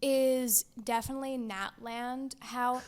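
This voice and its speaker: Young woman, casual chat, excitable, giggly, filler-heavy, somewhat high-pitched. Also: slow, smooth. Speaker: podcast co-host.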